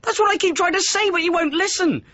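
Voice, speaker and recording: A man's voice: very high voice, fast talker, pushed and bright, no room sound, dull audio. An actor playing a wry, frank young son who teases his father in a British radio comedy-drama, with a dry, direct delivery. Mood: frank, earnest. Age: young adult.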